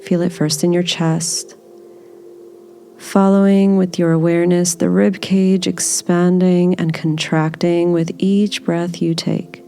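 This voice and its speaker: A woman leading a guided body scan meditation in a gentle, soothing, unhurried manner. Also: fairly low pitch, level tone, creaky.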